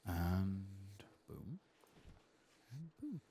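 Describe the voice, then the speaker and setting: A soft-spoken man murmuring ASMR commentary over a video game playthrough, musing to himself and sometimes dropping toward a whisper. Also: low-pitched, wildly swooping pitch, very slow, gravelly, a little hiss or hum.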